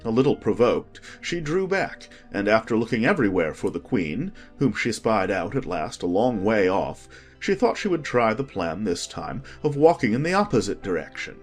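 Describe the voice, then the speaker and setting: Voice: mid-range voice, very animated, normal speed, hardly any pauses, soft background music. An audiobook narrator reading a scripted children's story.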